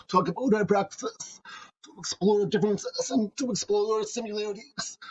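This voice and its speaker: A man's voice: high-pitched, husky. A man in his forties from Edmonton, a deaf theatre artist, talking earnestly as a podcast guest and listing his work at length. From Edmonton, Canada. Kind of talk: interview conversation. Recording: slightly dull lo-fi audio.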